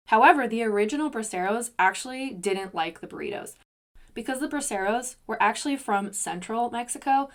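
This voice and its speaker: Chatty woman podcast host. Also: medium pitch. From United States. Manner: chatty, self-deprecating asides. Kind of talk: solo podcast monologue.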